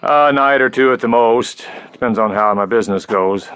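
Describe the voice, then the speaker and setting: An actor playing a self-assured Texas Ranger in a western audio drama, speaking in a plain, matter-of-fact way. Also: male voice, mid-range voice, moderate pace, a bit bright, clear, resonant tone, lightly acted. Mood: plain, businesslike.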